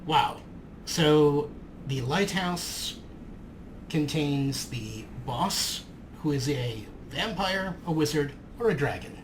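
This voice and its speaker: A man's voice: fairly high pitch, lively intonation, slow, slight background noise. A man giving theatrical, dramatic gameplay commentary as he hosts a let's play.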